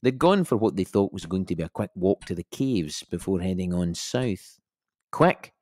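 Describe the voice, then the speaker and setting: An actor playing a middle-aged Scottish police inspector who gives measured, wry, matter-of-fact testimony in an audio drama. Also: male voice, deep voice, lively intonation, a little mellow, no echo. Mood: matter-of-fact, reflective. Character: dry, methodical male Scottish police inspector.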